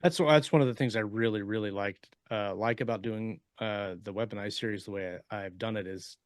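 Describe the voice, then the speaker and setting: A man's voice: lowish voice, fairly quick, no room sound. Man chatting casually, dry close sound. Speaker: podcast co-host and science fiction writer. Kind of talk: casual chat.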